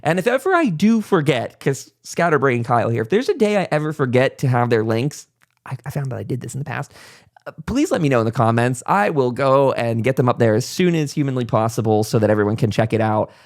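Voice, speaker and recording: A man's voice: mid-range voice, expressive, a little fast. A man hosting a podcast in a casual interview style, rambling along with folksy enthusiasm. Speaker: podcast host.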